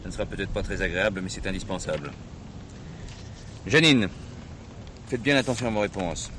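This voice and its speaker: An actor playing a methodical, polite but firm police inspector in a French radio drama, speaking formally. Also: male voice, sits low, expressive, fairly quick, a bit bright. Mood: firm, probing. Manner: formal, probing. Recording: slightly noisy old radio drama recording.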